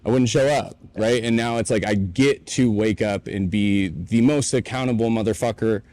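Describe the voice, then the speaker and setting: A man in his late twenties with a lowish voice speaks earnestly and reflectively in a casual podcast chat. He tells his story openly, recorded in a warehouse. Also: moderate pace, a little mellow. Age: 20s. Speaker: podcast co-host.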